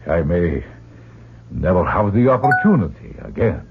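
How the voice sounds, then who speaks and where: An actor playing a courteous, weary older man in a radio drama, husky, speaking formally and haltingly. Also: low voice, sing-song, noisy background. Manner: halting, formal, self-deprecating. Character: courteous, weary old male family friend and traveler. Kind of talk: old-time radio drama acting. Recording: tinny, band-limited old radio recording.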